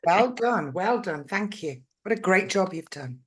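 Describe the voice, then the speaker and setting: A British woman hosting a podcast, chatty and encouraging, her voice going hoarse. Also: mid-range voice, expressive, a little slow. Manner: encouraging, chatty, asks leading questions. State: losing her voice. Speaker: podcast host. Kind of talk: casual interview chat.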